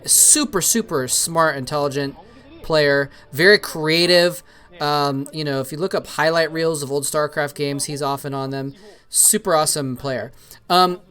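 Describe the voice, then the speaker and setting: A man's voice: fairly high pitch, a bit bright, smooth. A young man hosting and commentating an old esports match solo, chatty and rambling with many fillers, lighting up at the plays. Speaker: esports commentator, show host.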